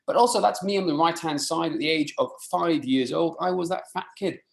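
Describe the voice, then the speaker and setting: Coach, roomy echoing sound. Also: male voice, fairly high pitch, fairly quick, gravelly. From rural mid Wales. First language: Welsh. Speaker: fitness coach presenting a webinar. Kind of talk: informal live online presentation. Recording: roomy, echoing live webinar audio.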